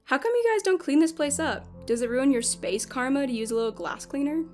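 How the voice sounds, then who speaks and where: A woman's voice: sits high, lively intonation, brisk, darkish tone. A woman playing a curious, candid, teasing detective in an amateur sci-fi audio play. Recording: music under the voice.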